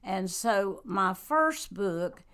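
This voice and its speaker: A woman, talking slowly in a casual interview as a guest and author, with many pauses and fillers. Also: medium pitch, expressive, a bit bright, clear, resonant tone. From North Carolina. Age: 90s. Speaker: guest, church member and author.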